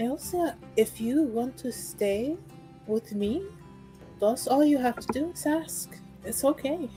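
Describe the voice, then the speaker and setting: A woman roleplaying a kind, proper young noblewoman with a gentle, explaining manner in a tabletop fantasy game. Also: sits high, takes it slowly, faint music behind, poor audio. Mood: reassuring.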